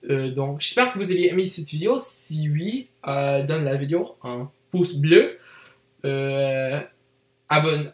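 A man's voice: fairly high pitch, roomy sound. A young man from Canada, a native English speaker, talking to camera in hesitant learner's French with an anglophone accent, full of fillers and self-corrections. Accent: English-speaking Canadian learner of French, with some Quebec French. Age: young adult. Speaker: vlogger. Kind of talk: unscripted casual monologue to camera. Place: small indoor room, seated in desk chair.

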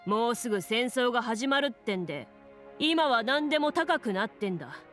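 A woman puts on a gruff male merchant in a fantasy game. He is short-tempered and scoffing, with blunt rough speech, over faint music. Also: on the high side, expressive, moderate pace, a bit bright. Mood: surly, irritated.

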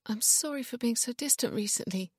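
A woman's voice: high voice, sing-song, measured pace, dry recording, studio quality, pitched above the performer's own voice. A woman voicing an anxious, frightened wife in an audiobook, with a tremulous, pleading manner. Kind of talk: audiobook character dialogue.

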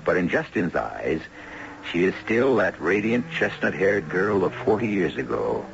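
A man with a deep voice narrates a 1970s radio drama as its host. His delivery is theatrical and literary, with lively rises and falls. The recording is dull, with clear noise behind him. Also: unhurried.

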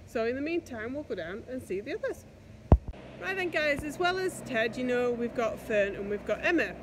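A woman's voice: fairly high pitch, big pitch swings, normal speed. A woman in her forties, a farmer, chatting to the camera in a casual walk-and-talk vlog from a livestock barn, filmed on a phone held at arm's length. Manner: chatty, talks to the camera while walking between animal pens. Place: livestock barn, partly outdoors in a farmyard. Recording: noisy phone video with farmyard sounds behind.

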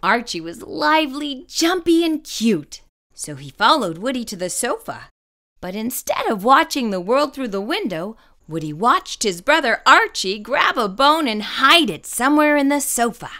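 Bright, animated woman reading a storybook. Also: a little high, normal speed. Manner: expressive, carefully phrased for children. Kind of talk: children's picture-book read-aloud.

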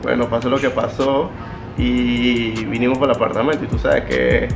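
A young man with a Panamanian accent talks informally, as if on a casual phone call. His mid-pitched voice has noticeable creak, and music plays behind him. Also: steady tone, moderate pace. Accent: Panamanian Spanish. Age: early twenties. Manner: slangy, informal. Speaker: YouTuber playing a phone prank. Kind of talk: casual prank-call chat. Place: living room indoors, on a couch.

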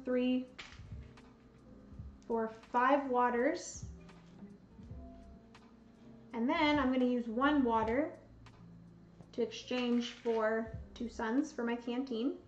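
Slow-talking woman losing her voice. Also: fairly high pitch. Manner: slow, thinking aloud, counting pieces. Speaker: board game streamer. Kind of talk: casual live solo board game play-through. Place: home room with bookshelves, indoors. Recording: roomy, slightly dull sound, soft music.

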